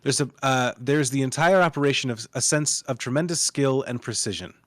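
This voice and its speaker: Guy reading script narration, normal pitch, really dry mic. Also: fairly quick, a bit bright, dry recording. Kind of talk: reading screenplay stage directions aloud.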